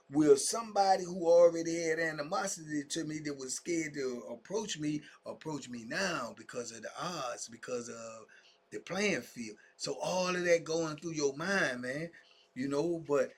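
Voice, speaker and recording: A man's voice: a little high, husky. A man in his fifties telling his own story to camera in a casual monologue, full of street slang and fillers like "man" and "you dig", sometimes dropping to a whisper. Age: 50s.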